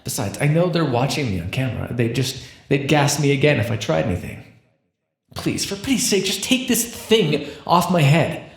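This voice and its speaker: A man's voice: mid-range voice, sing-song, moderate pace, vocal fry, slightly rough, full character voice. A man playing an imprisoned minor supervillain with mind powers in an ASMR roleplay, talking straight to the listener in a theatrical, rambling way. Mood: pleading, aggrieved. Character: imprisoned minor supervillain with mind control, chatty, scheming, smug.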